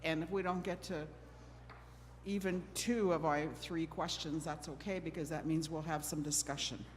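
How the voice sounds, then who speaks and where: A woman's voice: sits low, fairly even intonation, normal speed. A middle-aged woman from Oregon moderating a church panel discussion, chatty and a bit nervous, joking at her own expense. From Oregon, USA. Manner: nervous, self-deprecating, chatty with laughs. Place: church hall. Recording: long hall reverb with faint noise.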